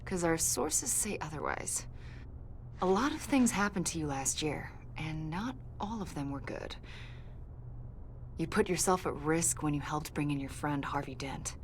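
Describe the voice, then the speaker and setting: An actress playing a confident government agent in a video game, questioning in a pointed, insinuating and accusatory way. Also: female voice, sits low, lively intonation, unhurried, a little hiss or hum. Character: probing female government agent, confident, accusatory, smoothly needling. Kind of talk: video game dialogue acting.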